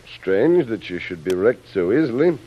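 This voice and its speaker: An actor playing a suspicious island boss in a vintage radio drama, questioning with smooth courtesy and a probing edge. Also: male voice, lowish voice, very animated, moderate pace. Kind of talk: 1940s radio acting. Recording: muffled vintage radio recording.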